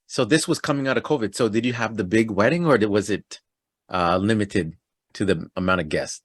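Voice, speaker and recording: A man from Toronto hosting a casual interview, upbeat and chatty and quick to laugh. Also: on the low side, husky, dry recording, studio quality. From Toronto, Canada. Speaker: podcast host.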